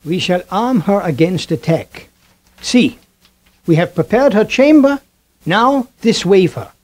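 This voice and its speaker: A man's voice: sits high, lively intonation, slow pace, darkish tone. An actor playing an elderly male vampire hunter professor, formal and urgent, in a 1970s radio drama. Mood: grave.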